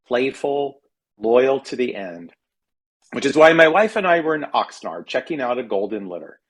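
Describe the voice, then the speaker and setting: An American man giving an expressive reading of a humorous personal essay, with wry, dramatic emphasis. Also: mid-range voice. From California, United States. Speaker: writer reading own work at a literary reading.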